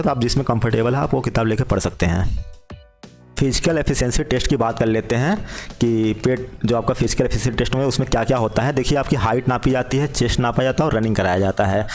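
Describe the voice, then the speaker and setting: A man's voice: medium pitch, darkish tone. A man giving an informal instructional explainer, repeating key points for emphasis as he goes. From India. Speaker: job exam guidance presenter. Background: background music.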